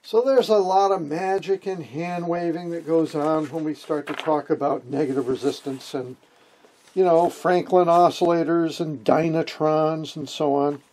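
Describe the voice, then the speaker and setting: An older man with a husky voice gives an informal electronics tutorial in a folksy, wryly joking way. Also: a little high, steady tone, normal speed, darkish tone, low-quality recording. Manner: folksy, wry joking explanations. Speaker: amateur radio hobbyist, video host. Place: small wood-panelled room indoors.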